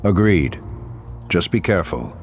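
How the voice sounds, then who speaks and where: An actor voicing a grave, ancient male night elf archdruid in a video game, with solemn, archaic, measured speech. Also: expressive, soft background music. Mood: commanding. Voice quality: very deep, gravelly.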